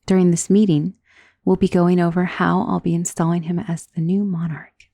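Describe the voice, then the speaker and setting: A woman playing a centuries-old, wealthy vampire in an ASMR roleplay, doting and possessive, speaking in a soft, intimate, genteel and commanding manner. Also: fairly low pitch, level tone, creaky, dry recording, clean studio sound. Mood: composed, explaining. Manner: soft, intimate, genteel, unhurried endearments, often dropping into whisper. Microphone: close microphone in a dry space.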